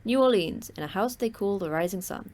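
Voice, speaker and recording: A woman's voice: mid-range voice, lively intonation, a little fast, a little mellow, close to an everyday voice. A woman playing the terse, dry-witted manager of a secret facility in a sci-fi horror audio drama.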